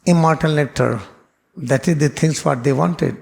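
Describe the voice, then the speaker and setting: Man with gravelly voice. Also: fairly high pitch, moderate pace. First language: Hindi. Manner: earnest explaining, repeats phrases, non-native phrasing. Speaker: spiritual teacher. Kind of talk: spiritual class lecture.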